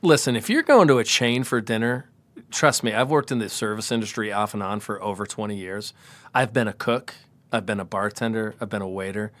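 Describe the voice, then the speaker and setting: A man's voice: on the low side, a little fast, a bit bright, dry recording. A man in his forties, a podcast guest in casual chat, talking emphatically and preaching his views. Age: 40s.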